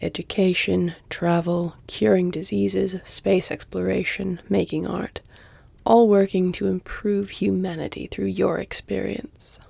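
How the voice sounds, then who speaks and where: A woman voicing a flirtatious, predatory vampire lady in an intimate audio roleplay, teasing and haughty, often sinking into a breathy whisper. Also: medium pitch, level tone, a little slow, dark tone, no room sound, heavily acted. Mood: amused, commanding.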